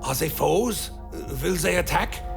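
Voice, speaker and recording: A man playing a brooding vampire who tells his tale in a grave, deliberate, dramatic way, as scripted gothic radio play narration. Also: sits high, lively intonation, creaky, exaggerated voice. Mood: wary, questioning. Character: Alaric the Damned, brooding male vampire telling his tale. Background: music.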